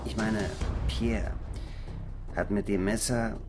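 A man's voice: lowish voice, steady tone, takes it slowly, over music. An actor playing an obsequious, nervous male informer in a radio crime drama, hesitant and self-justifying. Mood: uneasy.

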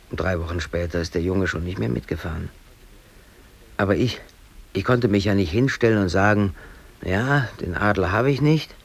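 Brooding, aggrieved low-voiced working man. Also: creaky, slightly rough, exaggerated voice. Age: late 30s. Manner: brooding, rambling, swinging between muttering and outbursts. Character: aggrieved East German factory worker and obsessive hobby photographer. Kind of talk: radio drama interior monologue.

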